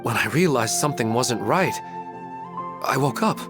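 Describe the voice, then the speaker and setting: Guy acting a gentle wistful husband, earnest n dreamy, mid voice, music behind, anime game. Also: medium pitch, expressive, normal speed, close to an everyday voice. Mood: dazed. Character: gentle, wistful man longing for his lost wife.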